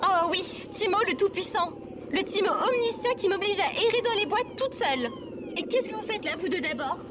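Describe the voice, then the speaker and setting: An earnest female AI robot companion, acted by a woman for an audio drama. The voice is extremely high and very animated, with precise, formal, literal-minded phrasing and a touchy streak. Heavy background noise surrounds it. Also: a little fast. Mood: indignant. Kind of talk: scripted audio fiction acting.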